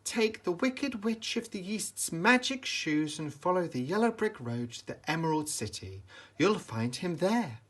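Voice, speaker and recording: A man's voice: sits high, sing-song, a little slow, fairly bright tone, husky, voice pushed up, full character voice. A man voicing a kindly, genteel good witch lady in a children's storybook. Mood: helpful.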